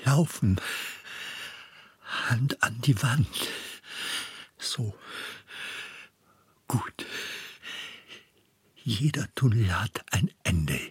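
An actor playing an anxious man groping through darkness, thinking aloud in a halting, breathy half-whisper, as in an intimate radio drama. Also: mid-range voice, expressive, no echo, full character voice. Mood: urgent, self-coaxing. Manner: halting, clipped fragments.

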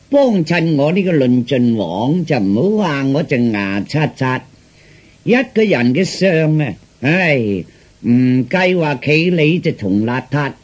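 A man's voice: fairly high pitch, full character voice. A man voicing a boastful street fortune-teller in a Cantonese storytelling piece, hawking with showy rhymed patter.

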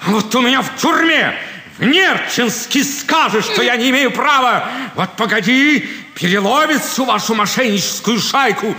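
An actor playing an outraged, self-pitying swindled card sharper in a classic stage comedy, exclaiming and cursing theatrically. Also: male voice, extremely high pitch, lively intonation, normal speed, pushed and bright, full character voice. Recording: echoey theatre hall with long reverb.

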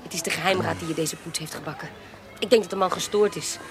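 A woman's voice: on the low side, fairly even intonation, fast talker, crowd noise, natural voice. A woman playing a shrewd, bold adventuress in a radio drama, speaking in a composed, articulate and wry way. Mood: wry, confiding. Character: shrewd, bold female adventuress posing as baroness. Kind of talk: Dutch radio drama acting.

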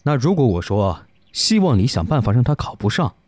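A man playing a courteous, protective eldest brother in an audio drama, speaking calmly and earnestly. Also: medium pitch, fairly quick, lightly acted. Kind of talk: Chinese audio drama acting.

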